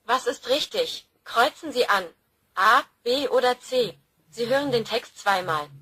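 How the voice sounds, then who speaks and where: A woman's voice: on the high side, lively intonation, measured pace, noisy background. Woman announcing exam instructions. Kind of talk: scripted instructions.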